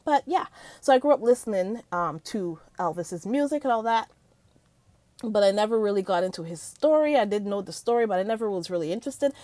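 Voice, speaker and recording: A middle-aged woman from Barbados, chatting excitedly in a movie review vlog, laughing often. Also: mid-range voice, fairly quick, dry recording. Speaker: vlogger. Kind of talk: casual movie review chat.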